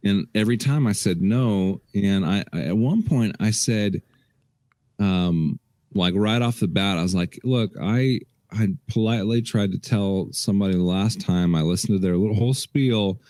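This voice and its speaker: Podcast co-host, young man, deep voice, laid-back storytelling, lots of restarts, dry studio sound. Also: moderate pace, no echo. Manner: relaxed, rambling, restarts a lot. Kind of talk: casual chat.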